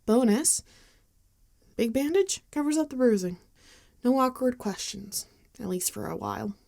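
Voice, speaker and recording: A woman playing a gentle, rueful vampire bartender in an intimate ASMR roleplay, soft-spoken and hesitant, often dropping into a whisper. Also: fairly high pitch, lively intonation, unhurried, creaky. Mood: tender, careful. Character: gentle, rueful female vampire bartender tending a wounded companion.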